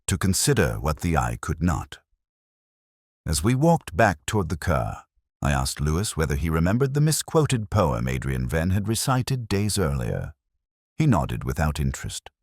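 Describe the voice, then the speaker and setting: A man narrating a first-person detective story in a measured, brooding, literary manner. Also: sits low, very animated, no echo, studio quality. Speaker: audiobook narrator.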